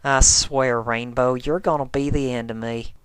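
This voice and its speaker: A performer voicing a plain-spoken country farm mare pony in a fan story, blunt, folksy and calm. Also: medium pitch, normal speed, clean tone, no room sound, lightly acted. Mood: exasperated.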